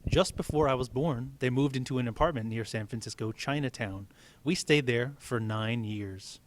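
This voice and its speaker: Male teacher, mid-pitched voice, reading a story aloud and chatting in asides. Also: moderate pace.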